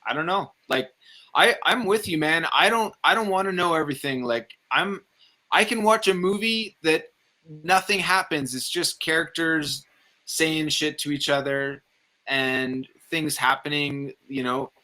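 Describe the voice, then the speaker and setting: A man's voice: fairly high pitch, unhurried, sharp tone. A man talking casually about movies, opinionated and rambling, with some swearing. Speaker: film review show panelist.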